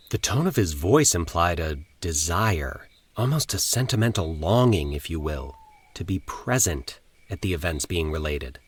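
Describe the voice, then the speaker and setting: Deep, husky male horror narrator. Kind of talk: scripted first-person horror narration.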